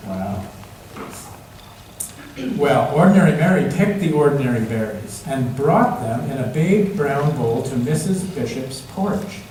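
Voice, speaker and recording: Slow-paced man reading to children, echoey room. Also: mid-range voice, expressive, a little mellow. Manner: interactive, repeats children's words and asks them simple questions. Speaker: children's story reader at a church service. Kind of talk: storybook read-aloud to young children. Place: large echoey room, church. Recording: echoey room, distant mic, faint noise.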